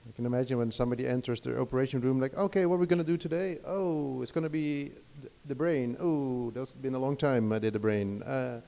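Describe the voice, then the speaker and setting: A middle-aged man with a Dutch accent gives a lecture in English. His voice is gravelly and mellow at a middle pitch, and he hesitates often, restarting sentences and filling gaps with "uh". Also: quick pace, hardly any pauses. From Netherlands. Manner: hesitant, many restarts and fillers. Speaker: guest lecturer. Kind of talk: academic lecture.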